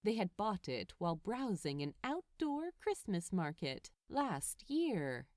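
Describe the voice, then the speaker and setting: Woman reading an audiobook story, takes her time, super expressive up and down pitch. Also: slow, no echo, studio quality. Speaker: narrator. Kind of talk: scripted storybook narration.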